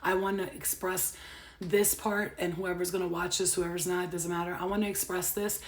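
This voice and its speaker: A woman's voice: fairly low pitch, moderate pace. Brash, profane woman in her thirties. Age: 30s. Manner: brash, profane and animated, swinging between loud rants and soft earnest asides. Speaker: tarot reader and spiritual healer. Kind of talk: casual live-stream chat to viewers.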